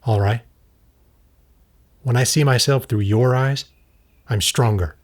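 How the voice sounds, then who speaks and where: A man's voice: medium pitch, slow. A man playing an earnest, troubled character in an audio drama, making sincere, intense appeals. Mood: earnest, uneasy.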